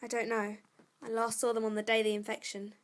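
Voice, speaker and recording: A woman's voice: a little high, little pitch movement, quick pace, lightly acted. A female performer playing a frightened, wary young girl in an audio drama, speaking timidly and hesitantly. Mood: wary, anxious. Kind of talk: audio drama acting with first-person narration.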